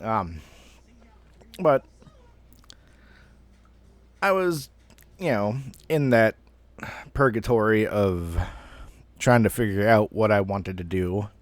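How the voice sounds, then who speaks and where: A man's voice: lowish voice, takes it slowly, pauses often, dry recording. A man in his thirties telling a personal story casually, in a rambling, self-deprecating way with some mild swearing. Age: 30s. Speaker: online storyteller.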